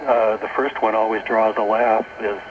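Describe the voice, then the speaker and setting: An American man speaking as a radio interview guest, explaining haltingly and rephrasing as he goes, on a noisy shortwave tape. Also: lowish voice, flat delivery, phone-quality sound. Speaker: talk show guest, author and workshop leader. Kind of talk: unscripted radio interview talk.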